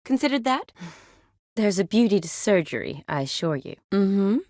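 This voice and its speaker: An actress playing a young woman army medic in a video game, caring, playful and briskly practical, with a natural, teasing delivery. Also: medium pitch, very animated, darkish tone, no room sound, studio quality, lightly acted. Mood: wry, playful. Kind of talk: video game character dialogue.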